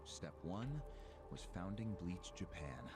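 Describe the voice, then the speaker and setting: A man playing a calculating, cynical political operative in a video game, measured and smug as he explains. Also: deep voice, big pitch swings, exaggerated voice. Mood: smug, explaining. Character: calculating, cynical male political operative recounting a grand scheme. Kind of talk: English video game voice acting. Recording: game audio with music behind. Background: game music.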